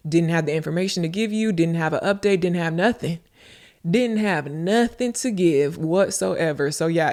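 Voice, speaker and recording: A woman hosting a podcast in a casual, informal way, talking directly to her listeners and repeating phrases for emphasis. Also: deep voice. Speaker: podcast host and content creator. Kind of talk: casual solo podcast talk answering listener questions.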